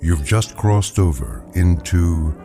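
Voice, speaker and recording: An actor playing a solemn narrator of strange tales, speaking in a measured, portentous way. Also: male voice, low-pitched, animated, heavily acted. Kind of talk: dramatic scripted anthology narration. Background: music.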